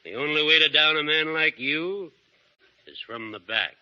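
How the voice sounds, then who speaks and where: A sly, bright-toned Old West villain. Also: male voice, fairly high pitch, normal speed. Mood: taunting, menacing. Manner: smooth, taunting, menacing. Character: sly, cold-blooded male frontier villain trader. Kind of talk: 1930s radio drama acting. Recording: muffled 1930s radio broadcast.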